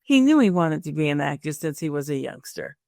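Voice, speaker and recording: A woman's voice: low-pitched, big pitch swings, fairly quick, gravelly, darkish tone, no echo. Woman podcast host, very dry close sound. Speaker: podcast host and career coach. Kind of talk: scripted introduction and friendly interview chat.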